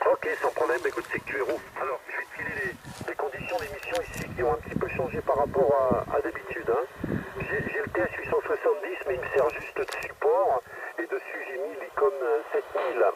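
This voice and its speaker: A man's voice: fairly high pitch, wildly swooping pitch, fairly quick, vocal fry. A talkative man chatting informally about technical gear as an amateur radio operator, heard through a radio receiver. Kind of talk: casual technical chat over radio. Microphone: cheap radio microphone heard through transceiver speaker. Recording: muffled noisy radio reception, distant.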